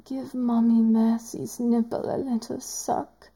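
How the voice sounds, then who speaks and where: A woman voicing a teasing, doting woman doctor in an adult audio roleplay, speaking in a slow, whispery, drawn-out way. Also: on the high side, little pitch movement, mellow, breathy edge, heavily acted, rough recording. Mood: sultry teasing.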